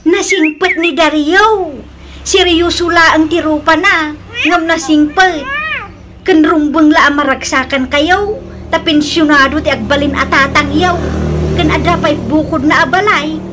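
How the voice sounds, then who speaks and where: High-pitched, lovestruck elderly mother. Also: fairly even intonation, measured pace, noisy background. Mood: smitten. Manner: coquettish, insistent, dramatic. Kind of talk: comedic radio drama acting.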